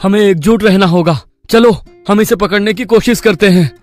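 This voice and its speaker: A man voicing a brave, determined villager in an animated story, speaking in an earnest, rallying, declamatory way. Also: sits high, moderate pace, faint music behind, close to an everyday voice. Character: brave, determined male village friends defying a demon.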